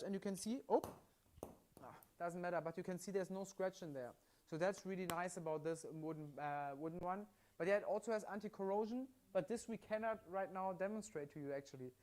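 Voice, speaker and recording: A man's voice: high voice, fairly quick, darkish tone. A man from Germany with a German accent, presenting products live in a chatty, step-by-step way. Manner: chatty, upbeat, explains step by step with frequent "yeah?" tags. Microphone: mic worn at his side.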